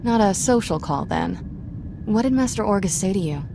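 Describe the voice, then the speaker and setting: An actress playing a witty, flirtatious starship companion with a wry manner. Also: female voice, medium pitch, animated, normal speed, noisy background, natural voice.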